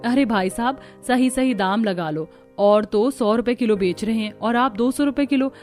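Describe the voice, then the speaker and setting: Brief: woman, slightly high voice, lively cartoon dubbing, soft music behind. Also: normal speed. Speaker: narrator and voice actor. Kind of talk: cartoon story narration and dubbing.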